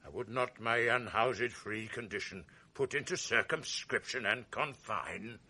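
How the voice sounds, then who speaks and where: An actor playing a proud noble general in a radio drama, speaking verse in a measured, commanding way. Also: male voice, medium pitch, pushed and bright, slightly rough, heavily acted. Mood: composed. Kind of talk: 1980s radio drama acting.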